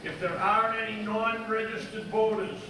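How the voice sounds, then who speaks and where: Man moderating a town meeting, high voice, flat delivery, echoey hall heard from far off, noisy background. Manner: measured, procedural announcing. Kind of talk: formal meeting procedure. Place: large auditorium. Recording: echoey hall, distant mic, background noise.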